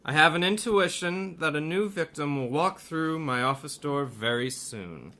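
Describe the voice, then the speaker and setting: An actor in a radio mystery playing a grandiose, greedy criminal mastermind, a gloating hypnotist doctor with a theatrical delivery. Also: male voice, a little high, expressive, measured pace, pushed and bright, heavily acted. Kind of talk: radio mystery drama acting.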